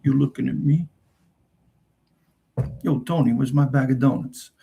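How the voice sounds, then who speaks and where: A man's voice: medium pitch, fairly even intonation, a little slow, vocal fry, warm tone, husky, heavily acted. A man putting on a brash, tough-talking New Jersey wiseguy as a joke. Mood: mock-tough. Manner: brash, joking.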